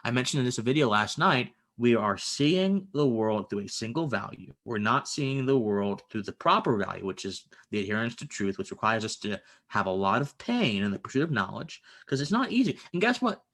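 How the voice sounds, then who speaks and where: A man's voice: medium pitch, sing-song, normal speed, hardly any pauses, a bit bright. A man of twenty, a guest in a casual conversation, talking earnestly and philosophically with stammered restarts.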